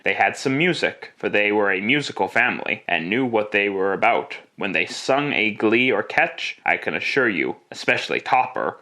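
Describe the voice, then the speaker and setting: A man's voice: on the low side, a bit bright. A man narrating a literary story in classic radio style, with a theatrical, relishing delivery.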